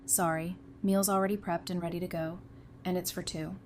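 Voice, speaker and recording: A woman acting as a devoted, stubborn survivor in an audio drama. Her voice sits on the low side and she talks informally and frankly. There is some noise behind her. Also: level tone, moderate pace, a little mellow, lightly acted. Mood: determined.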